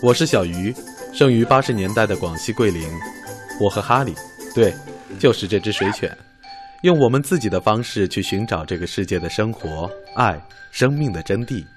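A man's voice: fairly low pitch, expressive, normal speed, over music, close to an everyday voice. A man in his thirties from Guilin playing a cycling traveler talking to his dog in a radio drama. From Guilin, Guangxi, China. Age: 30s. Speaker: cycling traveler, dog's owner.

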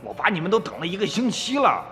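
A man's voice: high voice, huge pitch swings, fast, sharp tone, full character voice. A man acting a naive, desperate younger villager in a radio drama, pleading hesitantly and repeating himself. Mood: nervous, stubborn. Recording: long reverb, music underneath.

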